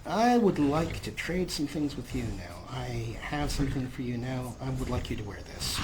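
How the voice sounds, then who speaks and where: A man's voice: medium pitch, expressive, normal speed. A man hosting a video game let's play, giving commentary with wry, joking asides and reading out role-played dialogue choices. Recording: clear background game ambience noise. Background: video game ambience.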